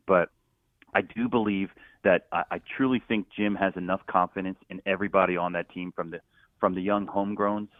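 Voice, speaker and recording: A man's voice: sits low, no echo. A middle-aged man from Philadelphia, a stadium announcer talking casually as a guest, rambling with many fillers and restarts. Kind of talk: casual phone interview. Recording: tinny phone line, dry.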